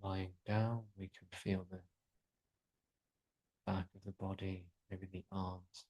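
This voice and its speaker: Slow, low-voiced man guiding relaxation. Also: level tone, breathy edge, highs cut off. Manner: soothing, unhurried, pausing between short phrases. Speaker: yoga nidra guide. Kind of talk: guided relaxation meditation.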